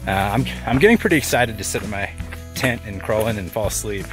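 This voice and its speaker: A man's voice: on the low side, lively intonation, brisk, faint music behind. A tired man in his thirties, a hiker, talking casually to a handheld camera outdoors. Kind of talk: casual selfie vlog. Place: outdoors in open mountains.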